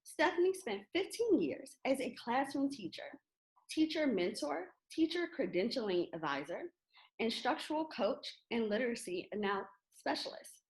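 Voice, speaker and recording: A woman's voice: fairly high pitch, very animated, normal speed, rough recording. A woman hosting a children's reading event, reading out introductions and putting questions to a guest. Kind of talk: scripted introduction and interview.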